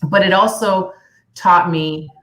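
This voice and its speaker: A woman's voice: fairly low pitch, takes it slowly, fairly bright tone. A woman who grew up partly in Peru, a nonprofit leader speaking earnestly and reflectively on a video call panel, with many restarts. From United States, raised partly in Peru. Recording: echoey room on a video call.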